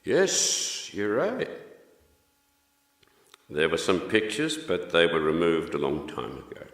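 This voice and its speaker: Actor as deep-voiced solemn painter in echoing hall. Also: male voice, huge pitch swings, slow, a bit bright, exaggerated voice. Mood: melancholic, solemn. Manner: hesitant, solemn, philosophical. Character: gentle melancholic male painter guarding forbidden art. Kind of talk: audio drama acting. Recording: echoey hall with long reverb.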